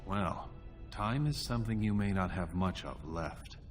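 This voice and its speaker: Very deep-voiced scheming prince. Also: male voice, lively intonation. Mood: conspiratorial. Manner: measured, conspiratorial, insinuating. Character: scheming dwarf prince, younger brother, smoothly persuasive. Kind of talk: fantasy game cutscene acting. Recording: clear background music.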